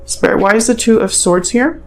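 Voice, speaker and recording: Woman with creaky voice reading tarot. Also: a little high, normal speed, faint music behind. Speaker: tarot reader, channel host. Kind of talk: informal spoken tarot reading.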